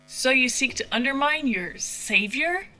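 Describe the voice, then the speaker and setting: A woman's voice: high-pitched, lively intonation, unhurried, sharp tone, exaggerated voice, rough recording. A woman playing a sardonic, streetwise captain in a fantasy audio drama, with a wry, skeptical, slangy way of talking.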